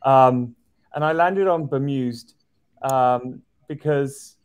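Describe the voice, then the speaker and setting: A man's voice: medium pitch, slow, darkish tone, clean tone. Thoughtful middle-aged man on a webcam. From outside the US. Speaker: guest. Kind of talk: casual chat on a video call. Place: office indoors. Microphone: video call webcam.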